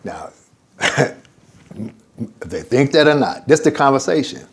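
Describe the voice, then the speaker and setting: A man's voice: medium pitch, a little slow, slightly rough. An older man teaching an informal religious lesson as imam, wandering off topic and acting out conversations. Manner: animated and digressive, acts out conversations.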